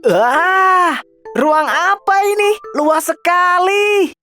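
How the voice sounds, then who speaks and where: A woman's voice: very high-pitched, expressive, extremely slow, sharp tone, faint music behind, heavily acted. A woman voicing a mischievous, curious young boy in a children's storybook, exclaiming playfully. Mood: amazed, curious. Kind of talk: children's storybook character acting.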